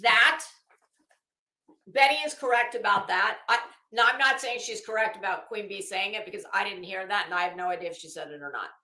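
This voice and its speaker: A woman's voice: medium pitch, brisk, bright, forceful tone. A woman reading a document aloud with running commentary, sardonic and incredulous, with blunt, casual swearing. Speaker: host of commentary show. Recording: roomy, echoing sound.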